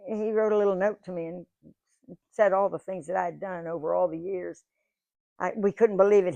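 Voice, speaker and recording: An older woman in her nineties tells her life stories to an audience in a folksy, chatty way. Her voice sits at a middle pitch, and she acts out the lines people said. The recording is dry, with no room echo. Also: creaky, darkish tone. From Georgia, USA. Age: 90s. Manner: folksy, chatty storyteller who acts out quoted dialogue. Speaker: guest speaker. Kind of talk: storytelling to a live audience. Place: museum event room.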